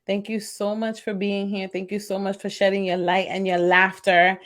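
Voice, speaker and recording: Woman, podcast host, middle pitch, encouraging, casual. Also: flat delivery, normal speed, fairly bright tone. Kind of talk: casual interview chat.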